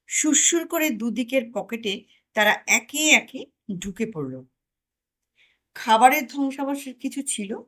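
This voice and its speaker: A woman, reading a comic story aloud as an expressive narrator. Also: a little high. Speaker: audio story narrator and channel host.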